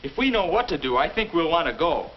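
A man's voice: a little high, big pitch swings, fast talker. An actor playing a confident young man of twenty-one, patiently teaching in the scripted style of a 1950s instructional film. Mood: brisk, encouraging. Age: young adult. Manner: didactic, crisp scripted reassurance. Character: confident 21-year-old man, patient mentor to his younger self. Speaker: mentor and explainer. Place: indoor film set. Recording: dull, noisy old 1950s film soundtrack.

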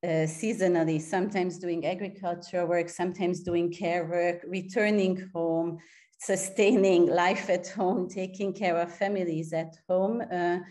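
A woman's voice: lowish voice, steady tone, a little slow, warm tone. A woman from Hungary, asking a long, searching question on a video call, with many restarts and fillers. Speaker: audience member asking a question. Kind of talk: online seminar question.

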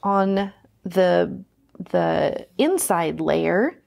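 Casual woman with a creaky, lowish voice. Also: expressive, extremely slow, a little mellow, a little hiss or hum. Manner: informal, chatty, thinking aloud. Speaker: craft tutorial host. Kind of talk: casual hands-on craft tutorial.

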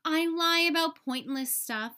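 A woman voicing a grumpy, self-confessed bad sunflower seed in a children's storybook, with drawn-out, emphatic confessions. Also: extremely high pitch, pushed and bright, pitched above the performer's own voice, full character voice. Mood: grumpy, boastful. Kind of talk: children's storybook read-aloud.

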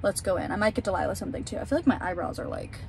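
A woman's voice: mid-range voice, lively intonation, very fast, creaky. A young American woman vlogging casually and chattily inside a car. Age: young adult. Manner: chatty, informal. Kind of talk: casual chat to camera and friend. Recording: loud car and outdoor ambient noise.

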